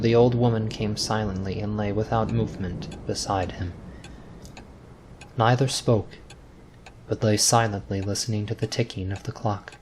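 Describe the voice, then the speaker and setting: A man narrating a scripted ghost story in a dramatic, suspenseful, deliberate radio style. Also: medium pitch. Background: music.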